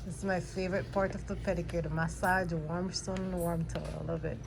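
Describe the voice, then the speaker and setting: A woman from Jamaica vlogging casually while getting a pedicure in a nail salon, relaxed and chatty, laughing often. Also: on the low side, fast talker, vocal fry, noisy background. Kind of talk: casual vlog chat.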